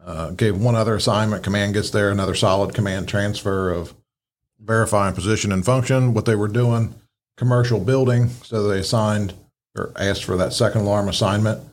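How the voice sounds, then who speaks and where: A man with a low voice talks through fire tactics as a podcast instructor. He is conversational and explanatory, letting his sentences wander as he analyzes. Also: fairly even intonation, fairly quick. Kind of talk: casual analytical commentary on fireground radio traffic.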